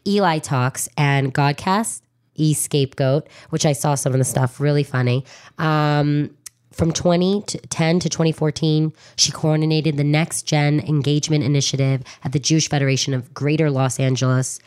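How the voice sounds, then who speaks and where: A woman's voice: low voice, fairly even intonation, normal speed, darkish tone, smooth. A woman hosting a radio interview in a chatty, informal, effusive way, often breaking off mid-thought, recorded in a studio. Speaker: radio show host. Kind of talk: chatty interview, partly reading a bio.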